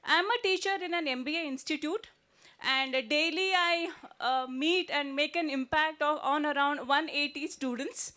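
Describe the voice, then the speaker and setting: Earnest high-voiced woman. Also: moderate pace, bright, forceful tone. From India. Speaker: audience questioner, teacher. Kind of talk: asking a question at a panel.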